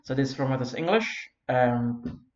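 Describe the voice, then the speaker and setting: Halting Indonesian-accented man lecturing. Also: mid-range voice, lively intonation, darkish tone. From Flores, eastern Indonesia. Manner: halting, many fillers and repeated words. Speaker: founder of an English learning community. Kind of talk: informal self-made video lecture. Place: Europe. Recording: roomy, dull lo-fi recording.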